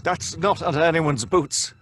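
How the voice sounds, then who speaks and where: An actor playing an indignant, excitable gentleman guest in an old-style radio horror drama, protesting in stammers. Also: a little high, unhurried, noisy background, lightly acted.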